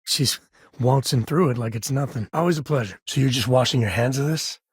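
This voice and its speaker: A male actor playing a wry, rough-edged space cowboy in a video game, with a husky, raspy voice and a dry, folksy manner. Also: medium pitch, a little fast, dry recording, close to an everyday voice. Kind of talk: game character dialogue lines.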